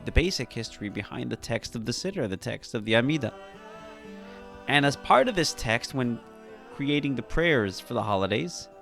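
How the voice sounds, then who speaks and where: A man, a rabbi, giving an earnest, emphatic teaching that rises to animated peaks. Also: mid-range voice, creaky. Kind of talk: sermon-style teaching.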